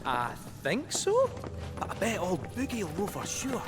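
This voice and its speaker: High, jaunty cartoonish robot-cat fortune-teller. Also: male voice, wildly swooping pitch, moderate pace. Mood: evasive. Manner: theatrical, sing-song, rhyming showman. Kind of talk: animated video game character acting. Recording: loud music under the voice.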